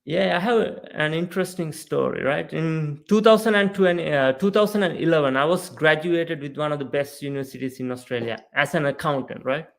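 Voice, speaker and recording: Gravelly earnest man from Sri Lanka. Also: fairly high pitch, a little fast, few pauses. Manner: earnest, animated, frequent "right?" and "you know". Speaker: podcast guest, mindset coach. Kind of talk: casual storytelling interview.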